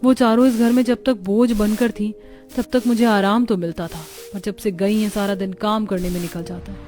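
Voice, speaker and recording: A woman voicing a resentful, sharp-tongued young married sister-in-law in a cartoon story, in a complaining and scolding manner. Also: mid-range voice, fairly even intonation, moderate pace, natural voice. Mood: grumbling. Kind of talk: Hindi cartoon story dialogue. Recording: music behind the voice.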